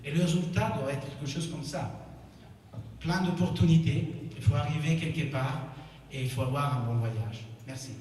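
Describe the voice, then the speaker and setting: A man with an Italian accent giving a conference talk, halting and correcting himself. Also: fairly high pitch, noisy background. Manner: halting, self-correcting, with non-native phrasing. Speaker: conference speaker. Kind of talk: conference presentation. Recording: echoey hall, speaker far from mic.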